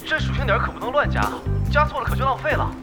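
A woman's voice: high voice, big pitch swings, quick pace. A woman acting a naive, admiring young newcomer to a gaming guild in an audio drama. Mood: earnest. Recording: loud music behind the voice.

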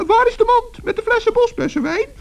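A man voicing a cheerful, fussy, kindly little gnome in squeaky falsetto for a children's radio play. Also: lively intonation, brisk, creaky, darkish tone, heavily acted.

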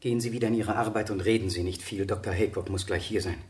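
An actor playing a flustered middle-aged village vicar in a German radio play, formal and agitated, turning stern under pressure. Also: male voice, fairly low pitch, steady tone, quick pace, darkish tone, echoey room, lightly acted. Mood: shaken.

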